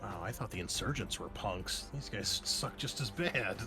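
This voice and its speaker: A man's voice: on the low side, lively intonation, normal speed. Casual man running a tabletop game, music behind. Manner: casual, conversational, mild swearing. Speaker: game master. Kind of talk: narrating a tabletop roleplaying game.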